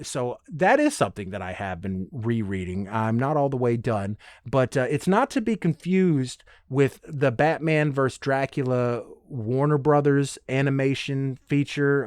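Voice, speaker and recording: A man's voice: mid-range voice, no room sound. Rambling man hosting a casual podcast, dry sound. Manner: rambling, conversational; enthusiastic, casually profane. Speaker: podcast host. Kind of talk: casual solo podcast monologue.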